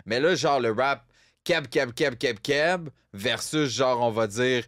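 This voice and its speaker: A man's voice: medium pitch, slow pace, a bit bright, no room sound, studio quality. A young man with a Québécois French accent, co-hosting a podcast in casual, rambling talk that is full of fillers and English phrases. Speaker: podcast co-host. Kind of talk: casual chat.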